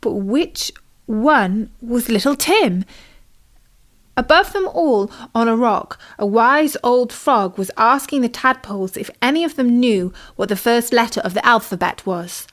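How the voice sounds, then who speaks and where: A British woman with a fairly high voice tells a children's story. Her reading is expressive, in the style of a bedtime audiobook. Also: unhurried.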